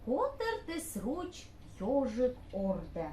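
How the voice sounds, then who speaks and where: Slow expressive woman storyteller. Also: on the high side. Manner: slow, expressive fairy-tale telling. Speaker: narrator. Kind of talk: storytelling narration for a puppet show. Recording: echoey room with faint hiss.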